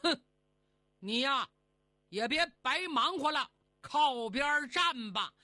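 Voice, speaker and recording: Sneering male immortal, played by a woman storyteller: grumpy, crude, scornful, animated. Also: on the high side, measured pace, dry recording, studio quality, heavily acted.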